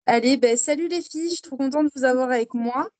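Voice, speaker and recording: A woman with a fairly high voice hosts a casual conversation. She puts questions to her guests informally, and the sound is dry. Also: fast talker, a little mellow, dry recording. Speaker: host, interviewer. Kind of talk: casual chat.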